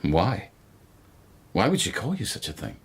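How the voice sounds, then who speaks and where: Radio drama: a devoted court policeman, very low and breathy, measured and gently insistent in interrogation. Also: huge pitch swings, exaggerated voice. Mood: patient probing. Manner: quiet, measured, gently pressing questioner. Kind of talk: literary radio drama acting.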